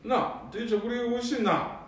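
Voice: medium pitch, fast talker, a bit bright, slight background noise, close to an everyday voice. Someone playing a plain-spoken grown son, twang. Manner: plain, rustic dialect talk. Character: adult son, down-to-earth. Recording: echoey room from a distance.

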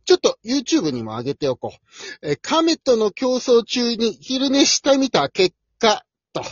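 Woman as high, animated cocky boy hare. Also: measured pace, no room sound. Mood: smug, scornful. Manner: showy, overconfident, exclamatory. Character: cocky young male hare, boastful streamer. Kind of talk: amateur comic radio drama acting. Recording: dull, lo-fi recording.

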